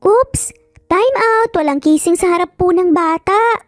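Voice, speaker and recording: A cheeky, playful little boy played by a woman narrator in a romance storybook. The voice is very high, chirpy and childlike, with polite manners and a dry sound. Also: measured pace, dry recording, soft background music. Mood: alarmed, playful. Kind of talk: Tagalog romance story character voice.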